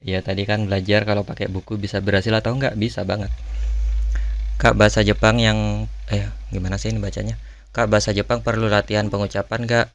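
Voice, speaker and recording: A man's voice: on the low side, flat delivery, fairly quick, gravelly. A man in a casual Q&A chat, musing and thinking aloud in an informal way as host. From Indonesia. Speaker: language channel host answering viewer questions.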